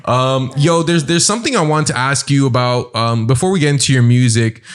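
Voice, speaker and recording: Twenties man, chatty podcast host, mid pitch, lots of "like". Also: fairly quick, clear, resonant tone. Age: 20s. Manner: chatty, animated, says "like" and "you know what I'm saying". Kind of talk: casual podcast conversation.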